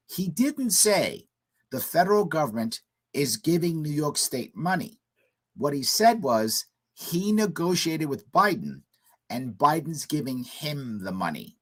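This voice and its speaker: A hoarse man hosting a call-in radio talk show, giving emphatic political commentary in short punchy statements. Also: a little high. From United States.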